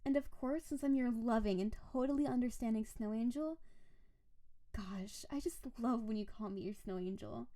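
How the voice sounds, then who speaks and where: A woman playing a doting, possessive snow-spirit wife in an intimate roleplay audio, speaking to her beloved in a sweet, teasing, clingy way with soft menace. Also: on the high side, moderate pace, close to an everyday voice. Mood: affectionate, eager. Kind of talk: intimate scripted roleplay audio addressed to the listener.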